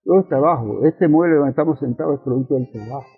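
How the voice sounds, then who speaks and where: Thin, narrow radio sound with music behind an older Venezuelan man. His voice is husky and he explains politics like a lecturer, posing rhetorical questions and repeating his key phrases. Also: fairly high pitch, fast. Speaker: interviewed communist politician and professor. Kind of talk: conversational political explanation in an interview.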